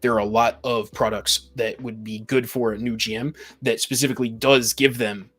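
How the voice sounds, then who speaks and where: A man's voice: mid-range voice, fairly even intonation, moderate pace, fairly bright tone. A man talking casually and explaining his views in a tabletop gaming discussion. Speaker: panel guest on a tabletop gaming podcast.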